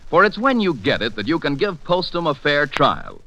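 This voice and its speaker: A man with an American accent doing scripted 1940s radio announcing and sponsor pitches. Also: big pitch swings, normal speed, sharp tone, rough recording.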